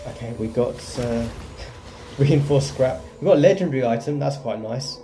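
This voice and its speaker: Very mellow-voiced man doing gameplay commentary. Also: medium pitch, normal speed, soft background music. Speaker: gaming video host.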